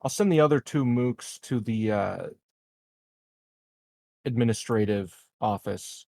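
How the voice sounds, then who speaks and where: A man playing a tabletop game, talking casually and planning aloud in a halting, deliberate way. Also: lowish voice, creaky, warm tone, no room sound, clean studio sound.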